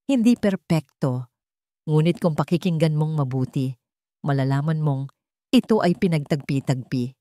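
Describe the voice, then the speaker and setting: A woman with a Filipino accent reads a dramatic love story as its narrator. Her voice sits a little low for a woman. The recording is dry and close, with little room sound. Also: mellow, no room sound.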